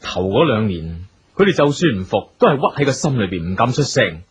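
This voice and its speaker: An actor playing a domineering, suspicious company boss in a wheelchair, curt and scornful, with a Hong Kong Cantonese accent in a radio drama. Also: male voice, mid-range voice, big pitch swings, brisk, breathy edge. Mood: bitter, weary. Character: domineering, suspicious eldest brother, general manager in a wheelchair.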